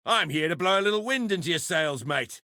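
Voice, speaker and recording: A brash pirate brawler from a video game who revels in violence. His gravelly, fairly high voice is sharp and forceful, taunting with rowdy swagger. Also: lively intonation, fairly quick, no echo, studio quality. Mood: mocking. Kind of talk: video game combat barks.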